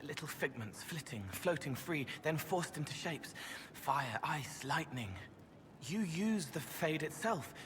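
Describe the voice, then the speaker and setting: An actor playing a gentle, otherworldly young man in a video game, speaking in dreamy, halting, poetic fragments. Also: a little high, animated, noisy background. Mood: dreamy wonder. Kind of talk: video game dialogue acting.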